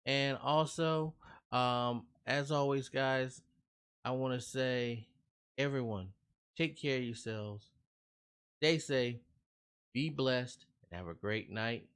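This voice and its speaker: Man, reaction host, rambling casual talk, earnest, keeps asking viewers okay, mid-pitched voice. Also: slow pace, pauses often, a bit bright, smooth. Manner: rambling and chatty, often checking in with "okay?" and "I mean".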